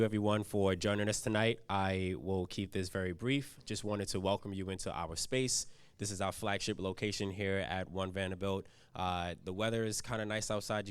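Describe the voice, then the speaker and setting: A man's voice: low voice, clean tone. A man from Trinidad giving a brief, informal welcome to event guests with a few fillers. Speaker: bank representative welcoming guests. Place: large event room.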